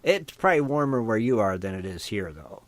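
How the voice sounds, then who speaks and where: A man with an American accent hosting a casual radio show, easygoing and quick to laugh, with folksy phrasing. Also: on the low side, very animated, crowd noise. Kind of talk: casual chat. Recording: lo-fi online radio call.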